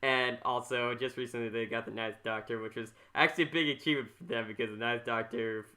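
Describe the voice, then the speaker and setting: A man hosting a podcast in casual chat, talking haltingly and rambling as he repeats himself. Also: mid-range voice, steady tone, sharp tone. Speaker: podcast host.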